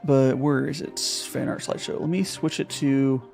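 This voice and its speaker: Gravelly, rambling game streamer. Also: mid-range voice, fairly even intonation, normal speed, darkish tone, soft background music. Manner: thinking aloud with many fillers and repeats. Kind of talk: casual live gameplay commentary.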